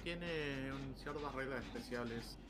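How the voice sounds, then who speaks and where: A man with an Argentine Spanish accent, chatting casually with a fellow player while gaming, slangy and halting when he explains. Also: mid-range voice. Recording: noisy game voice chat.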